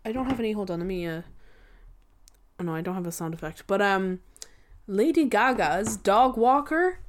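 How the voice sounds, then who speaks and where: A woman co-hosting a podcast in casual chat, dry and low-key, running through celebrity news from memory. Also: expressive, normal speed. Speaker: podcast co-host.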